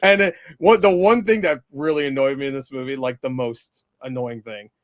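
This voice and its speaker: A man chatting casually as he reviews a movie, animated and quick to swear or act out bits. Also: medium pitch, dry recording, studio quality. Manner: animated, mimicking characters, swearing.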